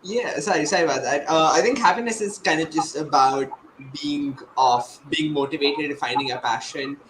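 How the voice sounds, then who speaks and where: A man's voice: on the high side, moderate pace. A man giving casual, informal panel answers, often correcting himself. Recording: noisy online call.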